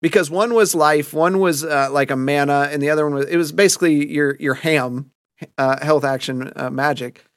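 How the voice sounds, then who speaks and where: A man's voice: a little high, moderate pace, no room sound, clean studio sound. A man acting as game master, chatting casually and rambling through explanations of a past campaign. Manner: rambling explanations with many restarts. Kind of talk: casual stream chat about a past campaign.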